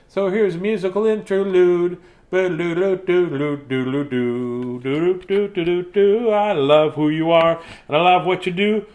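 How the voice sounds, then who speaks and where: A man's voice: sits high, darkish tone. A man, chatting casually and reading to viewers as a bedtime storyteller in a silly, playful manner. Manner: silly, playful, with singsong scatting. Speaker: children's bedtime story reader.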